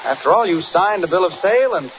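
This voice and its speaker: Through a muffled, very noisy old radio recording comes an earnest American newsman. His voice sits high for a man. He speaks in the hesitant, well-meaning way of a mild-mannered 1940s serial hero. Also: lively intonation, fast. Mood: reassuring, hesitant. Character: earnest mild-mannered male newspaper reporter, anxious but reassuring.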